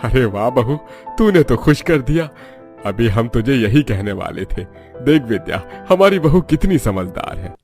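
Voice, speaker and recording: A man playing a gentle, weary older village father-in-law in a cartoon, fatherly and homely. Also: medium pitch, sing-song, measured pace, faint music behind. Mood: appreciative. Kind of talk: Hindi cartoon story dubbing.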